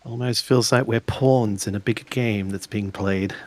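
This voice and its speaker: A man playing an earnest, formal samurai in a tabletop role-playing game, speaking in measured, halting phrases. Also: fairly low pitch, fairly even intonation, a little mellow, no room sound, close to an everyday voice. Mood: earnest, wondering.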